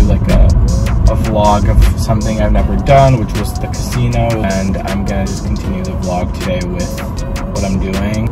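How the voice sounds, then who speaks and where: A man's voice: on the low side, poor audio. A young man vlogging casually from inside a car, talking straight to a phone camera on the dashboard. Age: young adult. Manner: relaxed, chatty, talks straight to camera. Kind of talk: casual vlog chat.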